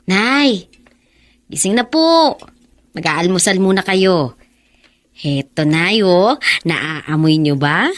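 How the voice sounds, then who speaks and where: Radio drama daughter: young woman, respectful, emotional, widely swinging pitch, unhurried. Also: animated, no room sound. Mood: cheerful, tender. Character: devoted young daughter of a sickly mother, tender, emotional. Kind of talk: Filipino radio drama acting.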